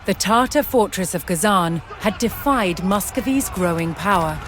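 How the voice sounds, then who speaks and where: A woman reading scripted historical narration for a strategy game, in a measured, dramatic storytelling delivery. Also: medium pitch, lively intonation. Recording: music under the voice. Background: background music.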